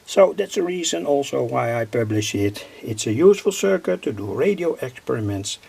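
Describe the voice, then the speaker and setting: A man demonstrating a radio circuit at his workbench, speaking haltingly with pauses, fillers and self-corrections. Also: mid-range voice, very animated, gravelly, a little mellow, slightly rough.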